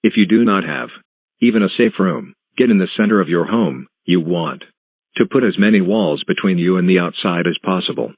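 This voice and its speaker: Phone-band, low-voiced male alert announcer. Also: brisk, dry recording, narrow, tinny audio. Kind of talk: scripted emergency weather warning announcement.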